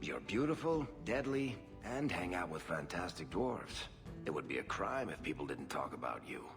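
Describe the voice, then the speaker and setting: Deep, roguish dwarf storyteller. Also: male voice, very animated, normal speed. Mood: teasing. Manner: wry, theatrical storytelling delivery. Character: roguish male dwarf storyteller, cocky, playful, fond of exaggeration. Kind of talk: video game voice acting. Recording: reverberant voice with loud music behind.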